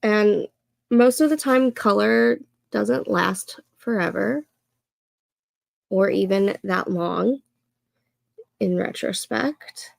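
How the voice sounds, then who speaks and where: A woman in her thirties hosting a casual co-working stream, chatting slowly and a little nasally as she works through her planner. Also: mid-range voice, gravelly, dry recording. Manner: slow, chatty, talks to herself while setting up a planner. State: congested, a bit nasal. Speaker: co-working livestream host. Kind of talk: casual chat while working.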